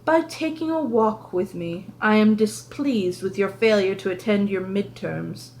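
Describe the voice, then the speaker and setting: A woman's voice: mid-range voice, unhurried, a little mellow, a little hiss or hum, lightly acted. A woman reading a storybook part as a prim, imperious grandmother with a British accent and a formal, authoritative delivery. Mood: cold displeasure. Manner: authoritative, clipped, menacing formality. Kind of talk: audiobook character reading.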